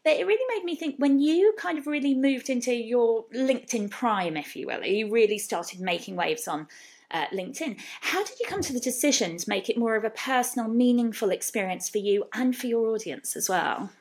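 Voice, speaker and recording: A woman's voice: on the high side, animated, fairly quick, darkish tone. Chatty woman hosting a podcast interview. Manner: rambling, hesitant questions with many fillers. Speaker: podcast host, web designer. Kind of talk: casual interview chat.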